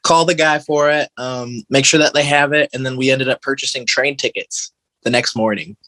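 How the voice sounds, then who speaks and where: A man's voice: mid-range voice, normal speed, sharp tone, no room sound, rough recording. An American man chatting casually as a podcast guest, telling stories in an informal, slangy way. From Michigan, United States. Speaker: podcast guest, metalcore guitarist. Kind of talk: casual conversational storytelling.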